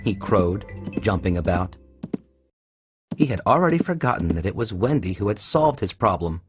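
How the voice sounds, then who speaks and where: A man's voice: lowish voice, sing-song, moderate pace, breathy edge, dry recording, studio quality. A man narrating a scripted children's storybook tale. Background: music.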